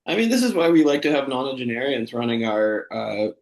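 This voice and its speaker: A man co-hosting a live comedy show, bantering casually with a deadpan, mock-earnest delivery. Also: mid-range voice, a little fast, creaky. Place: online video call. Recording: roomy, echoey sound.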